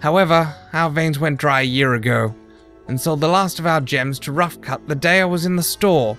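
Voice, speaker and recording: A man's voice: a little high, moderate pace, a bit bright, faint music behind. A man playing an indignant, defensive male griffin who explains himself in an audio drama. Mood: indignant, explaining.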